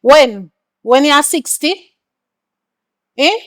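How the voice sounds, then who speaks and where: A woman's voice: high voice, animated, slow. An actress playing a stern, exasperated middle-aged mother with a Jamaican accent, scolding and confronting her grown son with pointed questions.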